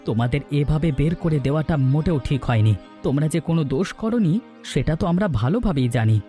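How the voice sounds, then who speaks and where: A man's voice: on the high side, animated, fast, dark tone, faint music behind, lightly acted. A man voicing an earnest, sometimes stern grown son in a melodramatic cartoon story. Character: grown Bengali sons of a household, earnest, stern.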